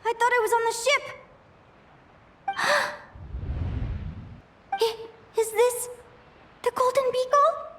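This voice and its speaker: A young explorer caught in a troubling dream. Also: female voice, very high voice, fairly even intonation, slow pace, long pauses, fairly bright tone, a little hiss or hum, exaggerated voice. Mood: frightened. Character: young woman explorer, curious, shaken by dreams. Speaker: game character. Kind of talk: game voice acting.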